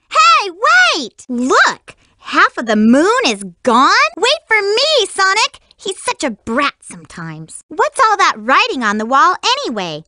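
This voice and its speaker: A woman voicing a cute, energetic young girl hedgehog for a video game, sweet but feisty and lovestruck, with a perky, emphatic girlish delivery. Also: extremely high pitch, no echo, clean studio sound, exaggerated voice.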